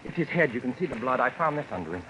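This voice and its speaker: An actor playing a timid, polite young man in a hesitant, halting manner, in 1940s radio suspense style. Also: medium pitch, big pitch swings, natural voice. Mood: anxious, shaken. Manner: hesitant, halting, self-doubting. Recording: noisy vintage radio recording.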